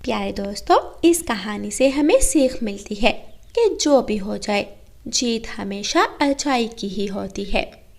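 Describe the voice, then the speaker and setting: A woman's voice: medium pitch, animated, takes it slowly, vocal fry, a little mellow. A woman narrating a scripted children's story.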